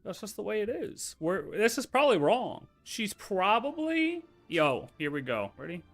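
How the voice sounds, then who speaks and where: A man with a very bright, forward voice pitched a little high gives casual livestream commentary. He talks off the cuff, thinking aloud and interrupting himself as he reacts. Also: very animated, moderate pace, soft background music.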